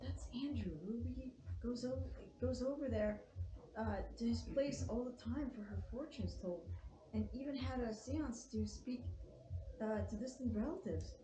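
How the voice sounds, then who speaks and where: Woman playing scheming female accomplice, highish voice, sarcastic, scornful, roomy echo, drama table read. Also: a little slow, lightly acted. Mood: skeptical. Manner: sarcastic, reproachful. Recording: roomy echo with faint background noise.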